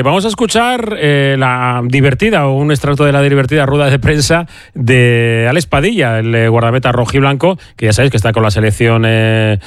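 A man's voice: medium pitch, clean tone, no room sound, clean studio sound. Man hosting sports radio. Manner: many fillers and restarts. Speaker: radio sports show host. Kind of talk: hesitant conversational sports radio presenting.

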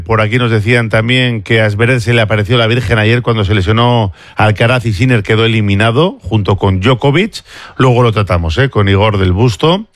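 A male sports radio host runs through results and listener messages in a brisk, conversational way. His mid-range voice sits close on a dry studio mic. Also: medium pitch, no echo. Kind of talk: radio sports news presenting.

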